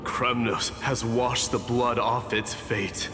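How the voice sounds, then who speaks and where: An actor playing a proud, self-sacrificing warrior demigod in a video game, speaking in a solemn, measured, declamatory way. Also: male voice, medium pitch, steady tone, natural voice. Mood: solemn, rousing. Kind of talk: dramatic game voice acting. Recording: echoey reverberant hall, music behind.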